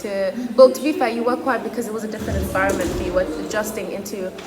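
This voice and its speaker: A woman's voice: mid-range voice, racing. A young woman co-hosting a podcast, asking questions and chatting casually. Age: young adult. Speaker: podcast co-host. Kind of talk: casual chat. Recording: loud ambient background noise.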